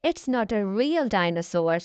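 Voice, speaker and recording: A woman voicing a gentle, reassuring mother pig in a children's storybook, explaining things soothingly. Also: medium pitch, lively intonation, a little slow, clear, resonant tone, no room sound, close to an everyday voice.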